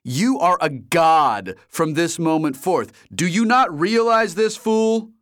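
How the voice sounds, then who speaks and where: A man voicing an excitable young man, the narrator's loud, gushing best friend, shouting over the top with excitement. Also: on the high side, takes it slowly, no room sound, clean studio sound, voice pushed up. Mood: excited. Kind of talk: audiobook character voice.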